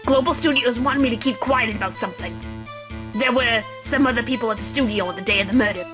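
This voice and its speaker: Woman acting a high-pitched cranky old lady, ranting. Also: fast talker, lightly acted. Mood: defensive. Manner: ranting, indignant, stammering. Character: cranky, combative, long-winded old woman witness. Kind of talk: amateur anime game fan dub. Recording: loud music behind the voice.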